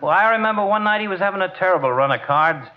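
An actor playing a plainspoken, folksy, tactless poker buddy in a 1940s radio comedy. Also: male voice, high-pitched, animated, fast. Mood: breezy. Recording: muffled, noisy 1940s radio.

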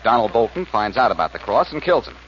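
Deep-voiced, animated wisecracking detective. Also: male voice. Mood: urgent, confident. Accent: American. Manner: brisk, assertive, wisecracking. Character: quick-witted, commanding wisecracking amateur detective. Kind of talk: 1940s radio acting. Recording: muffled, noisy old radio recording.

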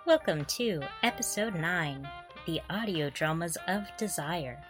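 A woman's voice: lowish voice, animated, a little slow, over music. A woman co-hosting a casual fan podcast, bantering in a mock-serious, giggly way. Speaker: podcast co-host.

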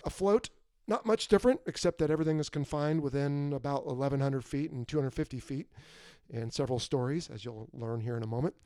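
Casting: middle-aged male podcast interviewer, conversational and casual, relaxed timbre, dry close sound. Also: mid-range voice, fairly quick, clean tone, dry recording. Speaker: podcast host.

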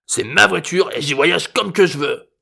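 A man voicing a cranky, defiant old man with a hoarse, gruff voice, in an audiobook story. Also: fairly high pitch, moderate pace, sharp tone, pitched above the performer's own voice, exaggerated voice. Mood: outraged, menacing. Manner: indignant, gruff, swearing.

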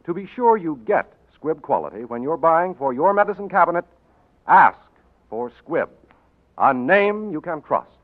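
A male announcer reading a sponsor message and sign-off in a measured, polished cadence. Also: a little high, big pitch swings. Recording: tinny 1940s radio broadcast, faint music.

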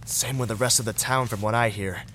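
An actor playing an anxious young library helper in an audio drama, jittery and inquisitive. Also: male voice, mid-range voice, fairly even intonation, fairly quick, a bit bright, a little hiss or hum, lightly acted, low-quality recording. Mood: curious.

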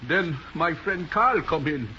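High-voiced distraught old Eastern European immigrant man. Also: animated, slow, sharp tone, exaggerated voice. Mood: grieving, upset. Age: older. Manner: broken English, plaintive questioning. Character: distraught old immigrant club owner, bewildered and righteous. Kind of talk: 1950s radio drama acting. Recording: dull, faintly noisy old radio recording.

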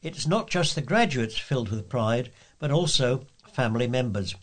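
A man's voice: medium pitch, expressive, moderate pace. A man reading scripted local news items aloud as a volunteer news reader.

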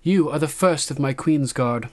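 A man's voice: a little high, normal speed, a little mellow, voice pushed up, natural voice. A resolute young queen, acted out by a male audiobook reader, speaks in a raised, projected voice. She is regal and solemn, declaiming to her followers with firm command. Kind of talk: audiobook character acting.

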